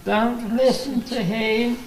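A woman's voice: a little high, fairly even intonation, slow pace, slight background noise. A woman playing narrator and small parts in a scripted storybook radio play. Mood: plain, earnest. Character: storybook narrator, sheep and wolf. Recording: faint music behind.

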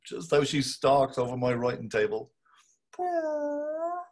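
An older actor playing a fussy middle-aged husband, muttering clipped thoughts and talking fondly to the cat, read over a video call. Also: male voice, medium pitch, wildly swooping pitch, slow, darkish tone, exaggerated voice. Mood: musing. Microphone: video call webcam.

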